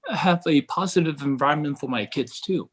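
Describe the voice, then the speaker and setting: A middle-aged man from Taiwan speaking as a podcast guest in casual conversation, earnest and halting, rephrasing as he goes. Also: a little high, fairly bright tone, breathy edge.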